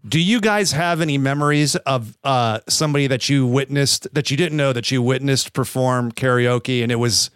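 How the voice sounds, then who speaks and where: A man hosting a podcast with a smooth, clear voice on a close, dry mic. He talks loosely, restarts his sentences, and swears casually. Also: medium pitch, normal speed, few pauses, a bit bright, dry recording. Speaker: host.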